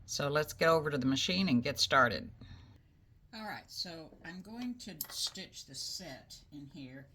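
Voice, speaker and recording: A woman's voice: deep voice, lively intonation, a little slow, a crowd in the background. A woman hosting a casual embroidery tutorial, chatting homely with fillers as she works at her machine. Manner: chatty, homey, talks while working, many fillers. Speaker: crafting channel host. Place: craft room by an embroidery machine. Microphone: speaker placed away from her, voice faint.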